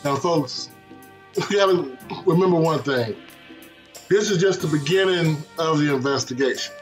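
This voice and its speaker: A man giving opinionated sports commentary in an emphatic way, pausing for effect. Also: on the high side. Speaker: sports commentary show host. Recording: music bed under voice. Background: background music.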